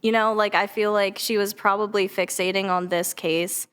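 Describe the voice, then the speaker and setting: A woman's voice: medium pitch, steady tone, normal speed, a bit bright, clear, resonant tone. A woman guest chatting casually on a podcast, informal and tentative, often saying "like" as she feels out her answer. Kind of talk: casual chat.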